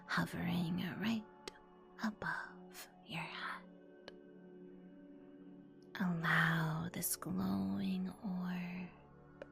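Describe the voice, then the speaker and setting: A woman leads a guided meditation in a hushed, breathy ASMR whisper. Her delivery is extremely slow and soothing, with long pauses between instructions. The voice sits fairly low, and faint music plays behind it.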